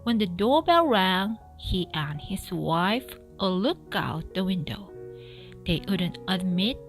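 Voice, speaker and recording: A woman narrating a children's storybook aloud in an expressive style, with music behind her. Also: mid-range voice, slow. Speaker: storybook reader. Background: background music.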